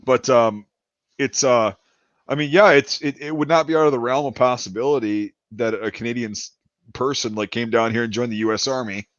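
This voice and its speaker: A man's voice: medium pitch, a little fast, no room sound. A man chatting casually as a podcast host, rambling and swearing freely. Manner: rambling, swears freely, lots of fillers and restarts. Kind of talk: casual chat. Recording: dry, dull lo-fi sound.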